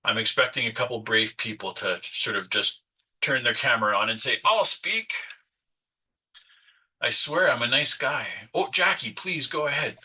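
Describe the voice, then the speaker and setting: A man's voice: medium pitch, normal speed, sharp tone, slightly rough, distant mic. A man whose first language is French, presenting a nonprofit workshop in a conversational way, with frequent fillers and restarts. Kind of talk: online webinar presentation.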